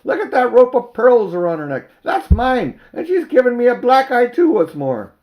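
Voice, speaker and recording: A man voicing an indignant fat London shopkeeper in a storybook, complaining loudly in an aggrieved, accusing way. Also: extremely high pitch, big pitch swings, moderate pace, muffled recording, pitched above the performer's own voice.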